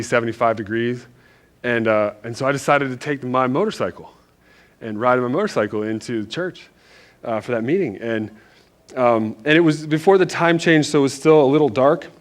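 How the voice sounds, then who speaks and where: A man from Northern Virginia preaching in a church, telling a story in a loose, animated, self-deprecating way with lots of restarts. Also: medium pitch. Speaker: pastor. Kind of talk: casual storytelling sermon.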